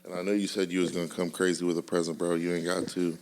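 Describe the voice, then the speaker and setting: A man in his late twenties co-hosting a podcast, chatting casually in a relaxed, slangy way and telling stories with frequent laughs. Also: sits low, fast talker. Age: 20s. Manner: laid-back, slangy storytelling, laughs often. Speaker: podcast co-host. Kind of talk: casual chat.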